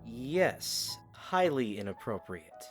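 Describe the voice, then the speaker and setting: An actor playing a young knight, earnest and dutiful with a dry teasing streak, in an audio drama. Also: male voice, medium pitch, sing-song, takes it slowly, soft background music. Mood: flustered.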